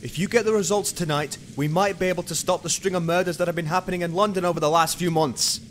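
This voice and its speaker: A man's voice: high-pitched, steady tone, fast talker, a little hiss or hum, natural voice. A man playing a young, hard-nosed, suspicious police detective in an amateur radio play. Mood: forceful, urgent. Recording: music and rain under the voice.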